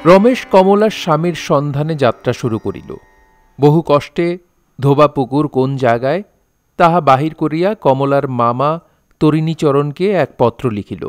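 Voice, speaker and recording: A man's voice: a little high, moderate pace, dry recording, soft background music. A man narrating an audio drama in a formal, literary manner.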